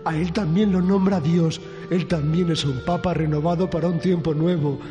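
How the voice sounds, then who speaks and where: A man's voice: a little high, fairly even intonation, normal speed, mellow, in a large hall, highs cut off, soft background music, lightly acted. An actor playing a naive, whiny, boyish young Spanish king, childish and literal-minded, in a satirical comedy sketch. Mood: naive, earnest.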